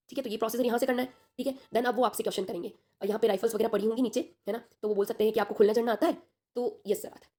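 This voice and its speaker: A woman's voice: a little high, mellow. A young woman with a sore throat teaching an online class, chatty and reassuring, checking in with her students often.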